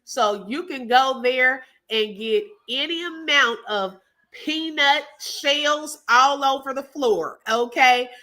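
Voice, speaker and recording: A woman's voice: high-pitched, slow pace, sharp tone. A woman with a Texas accent hosting a casual live stream, chatting with viewers, reading out their comments and laughing often. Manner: chatty and laughing, calls viewers baby and girl.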